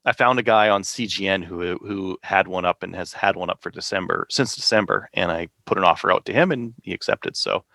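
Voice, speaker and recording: A man's voice: low-pitched, a little fast, gravelly, few pauses, sharp tone, no room sound. A man, co-hosting a podcast and talking casually and informally with slangy phrasing. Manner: informal, conversational, uses slang. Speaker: podcast co-host. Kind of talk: casual hobbyist chat.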